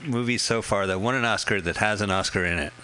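A man's voice: lowish voice, fast, sharp tone. A man co-hosting a radio show, joking and riffing casually while watching a movie. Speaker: radio co-host.

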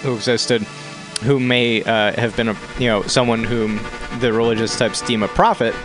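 A man's voice: medium pitch, steady tone. Man, radio guest, rambling, hesitant, crude humor, music behind. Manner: rambling, halting, full of ums and crude jokes. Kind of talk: casual radio chat.

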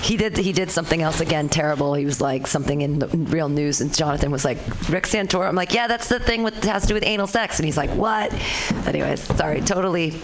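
A woman's voice: low voice, fast talker, hardly any pauses, noisy background. A woman hosting a live comedy show on the radio, rambling and joking with lots of fillers. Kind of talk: casual emcee banter.

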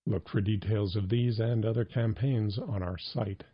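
A man reading a scripted news report in a steady, measured way. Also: fairly low pitch, slightly rough. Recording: dull lo-fi recording.

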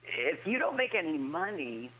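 A man's voice: fairly high pitch, sing-song, normal speed, pushed and bright, breathy edge, poor audio. A man co-hosting a podcast, chatting casually about a film and quipping along with it. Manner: quips, quotes movie lines in passing. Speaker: podcast co-host. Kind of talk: casual movie commentary chat.

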